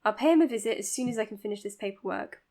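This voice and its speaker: An actress as an overworked woman doctor in an audio drama, with a fairly high voice. She is formal and earnest, choosing her words precisely and quick to blame herself. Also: lively intonation, warm tone, natural voice. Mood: clinical, curious. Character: overworked, self-blaming woman doctor running an asylum. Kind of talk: naturalistic audio drama, dictated case notes and dialogue.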